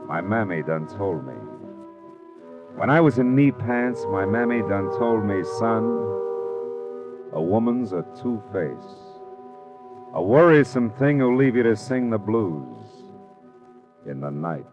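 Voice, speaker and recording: Wry, protective tough-guy detective, American, deep voice, clipped slangy delivery, dull vintage radio sound with music behind. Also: animated, slow pace, long pauses. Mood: weary, brooding. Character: hardboiled, world-weary male private detective, protective and wry. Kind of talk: 1940s radio drama acting and narration.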